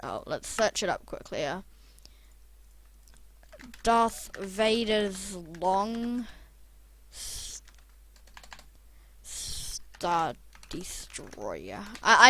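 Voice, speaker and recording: A young YouTuber with a croaky voice, rambling casually and chatting through a building video. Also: medium pitch, a bit bright. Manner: rambling, chatty, self-deprecating. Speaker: Minecraft YouTuber. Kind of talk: casual gameplay commentary.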